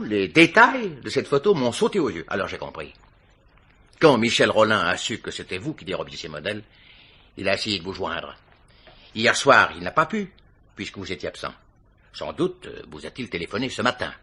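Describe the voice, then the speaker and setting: A man's voice: fairly low pitch, sing-song, normal speed, pauses often, pushed and bright, husky, full character voice. An actor playing a shrewd male investigator in a French radio mystery, theatrical, methodical and insistent as he accuses. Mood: accusing, measured. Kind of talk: French radio detective drama acting.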